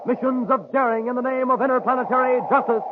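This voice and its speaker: A man's voice: extremely high pitch, fairly even intonation, fast, narrow, tinny audio. A man with an American accent announcing and narrating a 1950s radio serial with dramatic, enthusiastic salesmanship. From United States. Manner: dramatic, hard-sell enthusiasm. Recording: thin, hissy 1950s radio recording.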